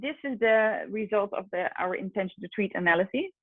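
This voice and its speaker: A woman researcher giving an explanatory presentation of study results in a measured way. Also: medium pitch, lively intonation, no room sound. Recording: muffled narrow phone-like call audio.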